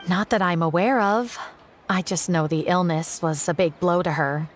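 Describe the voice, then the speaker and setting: A woman voicing a gentle, worried mother in a video game. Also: lowish voice, moderate pace, soft background music, natural voice. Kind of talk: scripted video game dialogue.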